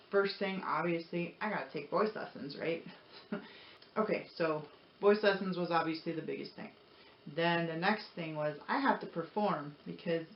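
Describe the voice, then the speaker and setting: A woman telling her personal story in a casual, motivational vlog style, conversational and repeating phrases for emphasis. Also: fairly low pitch. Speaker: vlogger. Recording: roomy echo, dull sound, faint noise.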